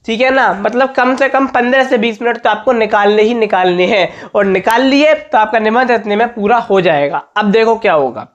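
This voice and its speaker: Dull lo-fi audio, high male voice, slightly hoarse, emphatic teacher, informal coaching, repeats key points. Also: moderate pace, few pauses. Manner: emphatic, repetitive coaching, often checking understanding. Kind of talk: informal exam-tips lesson.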